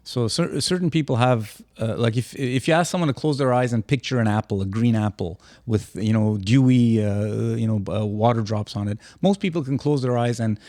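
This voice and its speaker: A man's voice: mid-range voice, normal speed, hardly any pauses, warm tone, no echo, clean studio sound. An older retired man chatting casually as a podcast co-host, rambling with frequent restarts. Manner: rambling, many restarts, easygoing. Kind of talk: casual chat.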